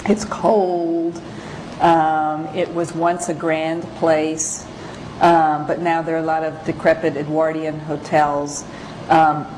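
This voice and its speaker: Woman, low voice, presenting as a photographer-lecturer; hesitant, pause-filled, informal delivery over noticeable background noise. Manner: halting, hesitant, pausing often. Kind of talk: informal slide lecture with read-out excerpts.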